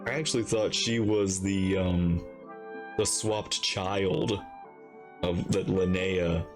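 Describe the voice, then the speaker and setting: A man giving casual live commentary as he reacts to a game, musing haltingly as he thinks aloud. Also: low voice, steady tone, music in the background. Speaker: reaction video host.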